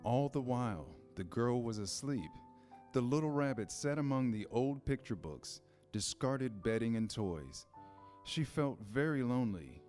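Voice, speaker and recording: A man's voice: medium pitch, lively intonation, unhurried, darkish tone, soft background music. A man reading scripted storybook narration in a staged reader's theater style.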